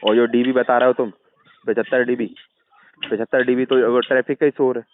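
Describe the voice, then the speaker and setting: A man's voice: mid-range voice, little pitch movement, a little fast, faint music behind. Male, central Indian Hindi accent, persuasive explainer who keeps seeking agreement, heard on a tinny recorded phone call. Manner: persuasive, checks agreement with frequent tag questions.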